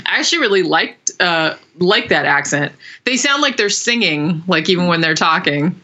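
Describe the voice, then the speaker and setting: A woman's voice: fairly low pitch, lively intonation, moderate pace, bright, forceful tone. A woman co-hosting a podcast in casual chat, rambling along with lots of fillers and little giggles. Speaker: podcast co-host.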